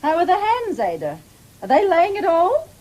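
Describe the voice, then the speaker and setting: A woman's voice: extremely high pitch, huge pitch swings, normal speed, darkish tone, highs cut off, exaggerated voice. An actress playing a stern, caring older farm mother and grandmother in a radio play, plain-spoken and reproachful. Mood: tender. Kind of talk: 1970s BBC radio play acting. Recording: noisy old radio broadcast recording.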